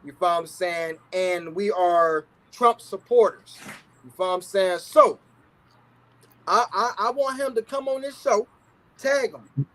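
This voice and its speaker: High-voiced man hosting a casual livestream. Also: unhurried, a bit bright. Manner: laid-back slang, repeats "you feel what I'm saying". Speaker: talk show host.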